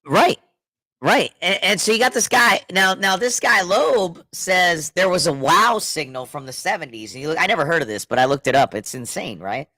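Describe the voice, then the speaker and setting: A man's voice: sits high, animated, moderate pace, pushed and bright, no echo. A middle-aged man with a scratchy throat from being sick, chatting loosely on a podcast, rambling and restarting his sentences. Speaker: podcast co-host. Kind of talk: casual chat.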